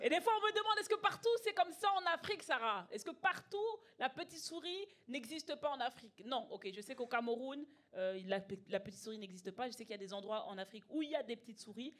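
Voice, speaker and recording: A woman tells stand-up stories in an emphatic, conversational way, acting out dialogue. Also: a little high. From Belgium. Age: early 20s. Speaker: stand-up comedian. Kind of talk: live stand-up storytelling. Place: comedy club stage. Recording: live stage with audience laughter. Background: audience laughing.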